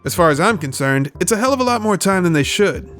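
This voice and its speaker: A man hosts a scripted video essay in a somewhat high voice. He is opinionated and emphatic, with casual, sweary phrasing. Music plays faintly behind his narration. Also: lively intonation, fast, a bit bright.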